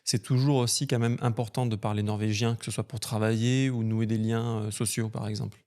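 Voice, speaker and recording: Relaxed man hosting a podcast. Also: lowish voice, fairly quick. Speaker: podcast host. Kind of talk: casual interview.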